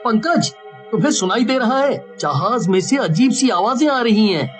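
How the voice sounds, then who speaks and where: A man voicing a worried, earnest young village man in an animated cartoon story. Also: sits high, moderate pace, soft background music. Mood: worried, planning.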